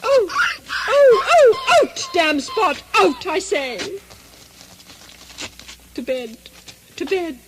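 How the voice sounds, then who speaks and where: High, haunted sleepwalking noblewoman. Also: wildly swooping pitch, extremely slow, long pauses, noisy background, heavily acted. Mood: haunted, trancelike. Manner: dreamy, theatrical, declaiming. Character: Lady Macbeth, sleepwalking madwoman, haunted and theatrical. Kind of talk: comic radio drama acting.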